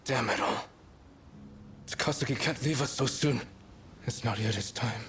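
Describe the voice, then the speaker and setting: An actor playing a loyal, determined young samurai comrade in a video game, speaking with earnest urgency. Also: male voice, mid-range voice, normal speed, fairly bright tone, slight background noise, lightly acted. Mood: distraught. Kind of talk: dramatic video game acting.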